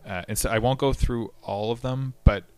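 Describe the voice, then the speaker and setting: A man's voice: mid-range voice, moderate pace, darkish tone, smooth. A man hosting a history podcast, explaining events in a casual way, with frequent fillers and restarts. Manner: conversational, many fillers and restarts.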